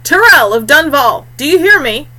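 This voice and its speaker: A woman's voice: high voice, big pitch swings, normal speed, bright, forceful tone, pitched above the performer's own voice, exaggerated voice. A woman voicing a scornful, commanding undead warrior chief in a fantasy story, bellowing formally and with contempt.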